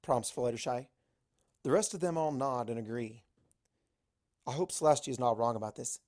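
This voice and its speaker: A woman's voice: a bass voice, normal speed, a little mellow. Woman narrating a story. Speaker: amateur fan fiction narrator. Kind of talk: first-person story narration.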